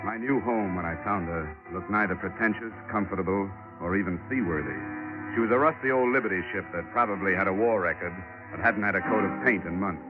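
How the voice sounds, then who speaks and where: Brief: deep-voiced hard-boiled investigator played by a man, terse, old radio sound with music. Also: fairly quick, narrow, tinny audio, natural voice. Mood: dry. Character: tough wisecracking male insurance investigator. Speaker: insurance investigator narrating his case. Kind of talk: 1940s radio drama narration.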